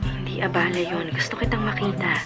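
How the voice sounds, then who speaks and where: A woman's voice: fairly low pitch, steady tone, a little fast, natural voice. A young woman with a Filipino accent acting in a radio drama as a lovelorn, remorseful girlfriend, tender and pleading. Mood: wistful. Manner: soft, pleading, sentimental delivery. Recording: loud music under the voice.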